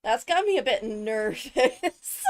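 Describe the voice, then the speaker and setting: Female video creator for casual analysis: slow overall pace, hugely varied intonation, chatty thinking-aloud delivery. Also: fairly high pitch, big pitch swings. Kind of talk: casual excited analysis commentary. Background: loud music from the video under commentary.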